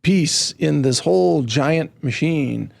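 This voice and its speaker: A man's voice: on the high side, takes it slowly, gravelly, darkish tone. A man hosting an interview, talking in a humble, earnest way and laughing easily. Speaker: podcast host. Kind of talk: casual interview chat.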